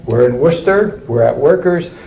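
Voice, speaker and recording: A man's voice: fairly high pitch, lively intonation, normal speed, vocal fry, dark tone. A man hosting a webinar interview, with friendly, slightly rambling introductions. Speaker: webinar host. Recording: echoey room with faint noise.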